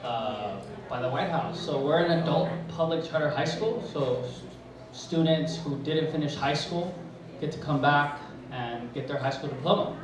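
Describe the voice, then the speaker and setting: A young man born in Mexico whose first language is Spanish, telling a personal story as a high school teacher at a live event, pausing often with fillers. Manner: hesitant, many pauses and fillers, mixes in Spanish. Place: event hall. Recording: echoey hall, mic far off.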